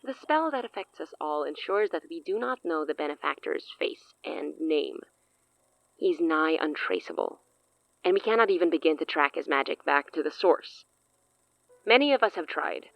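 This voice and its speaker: A grandiose sorceress from a horror audio drama, savors every eloquent word. Her voice is low and creaky, dropping into hushed asides, and she sounds privately amused. The recording is dry and slightly dulled. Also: lively intonation, normal speed, no room sound, highs cut off. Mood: eager, scheming. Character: grandiose, amused, long-lived rich woman sorcerer.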